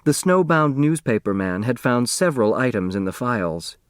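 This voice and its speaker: A man narrating a story as an audiobook reader, expressive and scripted. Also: medium pitch, moderate pace, no echo, clean studio sound.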